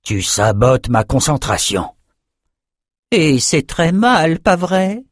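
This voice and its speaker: A man's voice: fairly high pitch, sing-song, takes it slowly, dry recording, studio quality, voice pushed up, heavily acted. A man voicing a smug, menacing male sorcerer in a fantasy audiobook, theatrical and taunting.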